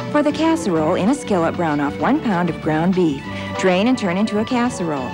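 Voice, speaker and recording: A woman announcer reading a scripted retail commercial in an itemised, instructional style. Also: fairly low pitch. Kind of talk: scripted grocery advertisement voice-over. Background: music.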